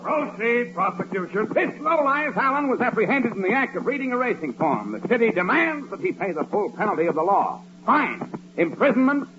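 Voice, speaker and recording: An actor playing a pompous, indignant, bullying prosecutor and judge in a 1940s radio comedy. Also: male voice, high voice, very animated, brisk. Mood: pompous, accusing. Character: blustering, bullying male prosecutor and judge in courtroom farce. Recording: dull, noisy vintage radio recording.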